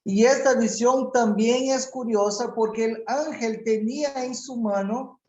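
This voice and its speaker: A man preaching a sermon as pastor, a native Portuguese speaker who talks in slow, measured phrases and often restarts. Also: extremely high pitch, a little mellow, dull audio. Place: church hall. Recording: echoey church hall.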